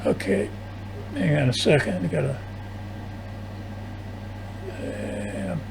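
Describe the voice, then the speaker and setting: A man talking casually and haltingly as an amateur radio operator. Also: fairly high pitch, wildly swooping pitch, creaky, background noise. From United States. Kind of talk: casual ham radio chat.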